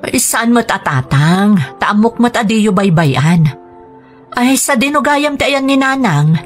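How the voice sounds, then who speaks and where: A woman playing a careworn, pleading mother in a radio drama, with a husky voice and an Ilocano accent. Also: a little high, lively intonation, a little slow. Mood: apologetic, pleading. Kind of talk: Filipino radio drama acting. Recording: music bed under dialogue. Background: music.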